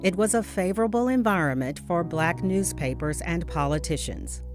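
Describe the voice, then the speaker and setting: A woman's voice: fairly low pitch, expressive, normal speed. A woman reading scripted documentary narration as the narrator. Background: guitar music.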